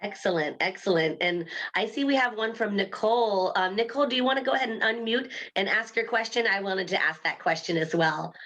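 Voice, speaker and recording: A woman's voice: medium pitch, a little fast, hardly any pauses, fairly bright tone. A woman from Hawaii hosting a course session, moderating questions over a video call in an upbeat, enthusiastic way. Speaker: course host.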